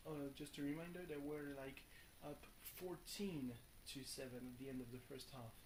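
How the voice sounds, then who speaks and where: A young man from France with a booming voice, rambling through a casual vlog from his bedroom, full of fillers and restarts, swearing now and then. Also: moderate pace, a little hiss or hum, poor audio. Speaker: vlogger. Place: small bedroom with reverb.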